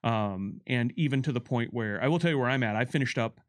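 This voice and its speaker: Man chatting casually, halting and restarting. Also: mid-range voice. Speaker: game developer giving commentary. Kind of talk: casual unscripted developer chat.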